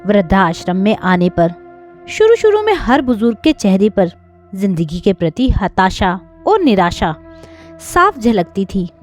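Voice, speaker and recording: A woman tells a sentimental moral story as a scripted narration. Her pitch moves in a lively, expressive way, sitting at an ordinary height for a woman. Faint music plays underneath her voice.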